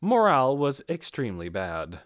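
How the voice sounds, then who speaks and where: A man's voice: medium pitch, wildly swooping pitch, normal speed, no room sound, clean studio sound. A man reading a fantasy novel aloud as an amateur audiobook narrator.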